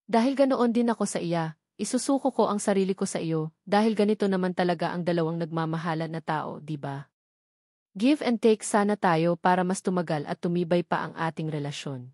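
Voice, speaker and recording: A woman's voice: lowish voice, a little fast, warm tone, no echo, studio quality, natural voice. A woman with a Filipino accent voicing a hurt, loving and apologetic husband in a romance audiobook, earnest and pleading.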